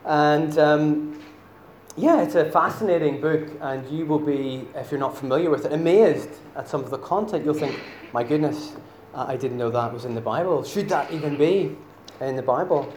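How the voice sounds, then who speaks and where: Northern Irish preacher in a roomy church. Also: male voice, on the high side, moderate pace, darkish tone, slight background noise. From Northern Ireland. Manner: chatty and self-deprecating, many fillers. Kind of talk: sermon. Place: church hall.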